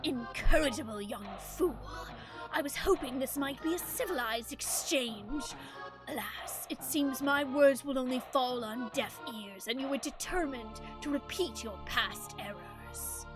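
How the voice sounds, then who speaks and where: A woman voicing a smug, menacing male villain lord in a video game, hushed, formal and condescending. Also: high-pitched, a little slow, music in the background, rough recording.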